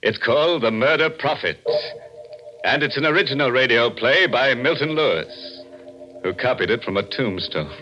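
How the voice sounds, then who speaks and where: A man's voice: mid-range voice, lively intonation, normal speed, pushed and bright. A man hosting a 1940s radio mystery show in a theatrical, ghoulishly playful style. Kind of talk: 1940s radio host introduction, macabre joking. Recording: muffled vintage radio recording, faint music.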